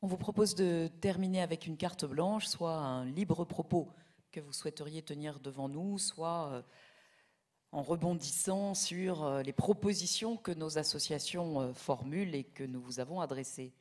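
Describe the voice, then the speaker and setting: Low-voiced woman moderating a formal hearing. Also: normal speed, darkish tone. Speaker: hearing moderator putting questions. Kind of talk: formal interview questioning.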